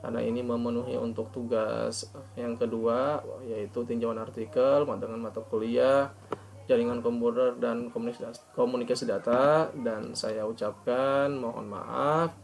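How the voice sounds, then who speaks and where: A man giving an academic presentation as a student, formally reading out points and then explaining them haltingly. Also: mid-range voice, little pitch movement, creaky, a little mellow, slight background noise. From Indonesia. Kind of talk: academic presentation of an article review.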